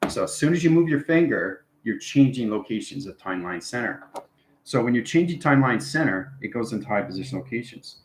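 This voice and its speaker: A man's voice: mid-range voice, expressive, brisk, a little hiss or hum. A man giving an informal spiritual lesson to viewers in fragmented, jargon-heavy phrases, often checking in with short tag words. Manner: esoteric jargon in fragmented phrases with frequent check-ins like "okay" and "right". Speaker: online spiritual teacher. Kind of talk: informal explanatory demonstration to viewers. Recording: roomy, echoing sound.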